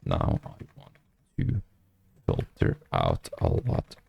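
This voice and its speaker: A young man with a hoarse, nearly lost voice, mumbling through a late-night coding stream with many fillers. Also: a bass voice, wildly swooping pitch, takes it slowly, muffled recording. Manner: speaks quietly to avoid disturbing neighbors. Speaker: programming streamer. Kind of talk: casual coding stream commentary. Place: small apartment room at night.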